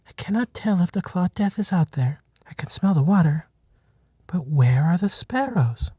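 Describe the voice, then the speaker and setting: A steady, protective buffalo matriarch from an animal storybook, acted out by a man. The voice is lifted high and kept soft-edged, with an earnest, commanding manner that suits the watchful leader of a herd. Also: normal speed, husky, no echo, clean studio sound. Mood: wary.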